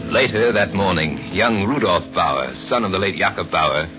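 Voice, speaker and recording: A man narrating an old-time radio drama in a formal, deliberate, portentous style. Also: low voice. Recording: dull old radio recording, music behind.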